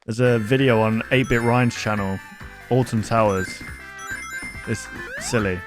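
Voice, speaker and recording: A man's voice: medium pitch, steady tone, measured pace, over music. A man giving casual, rambling reaction commentary in a jokey, incredulous manner. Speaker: YouTuber reacting to horror videos.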